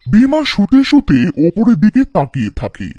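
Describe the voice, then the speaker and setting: A man's voice: high-pitched, huge pitch swings, moderate pace, warm tone, husky, no echo, dull audio. A man narrating a scripted cartoon folktale for children.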